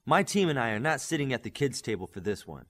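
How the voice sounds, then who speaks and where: A young superhero team leader, played by a male reader, defiant and determined in an audiobook. The voice is slightly husky and lively in pitch, with a firm, unflinching delivery. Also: fast talker.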